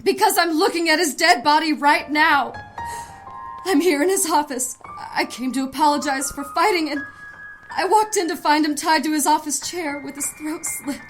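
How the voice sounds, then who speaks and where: A woman's voice: sits high, normal speed, natural voice. An actress playing a private eye's emotional, caring female partner in a noir audio drama. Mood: distraught. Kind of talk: noir radio drama acting. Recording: music under the voice.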